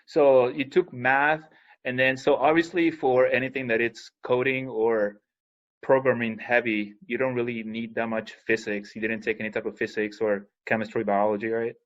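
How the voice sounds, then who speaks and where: A man's voice: mid-range voice, flat delivery. Chatty man host, dull recording. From Peru. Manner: chatty, rambling, quick asides. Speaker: podcast host. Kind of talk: casual interview talk.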